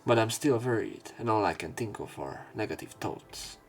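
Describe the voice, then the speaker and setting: A man reading a visual novel aloud as a let's player, in an expressive, dramatic narration style. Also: lowish voice, moderate pace, faint music behind. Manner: expressive, with emotional swells.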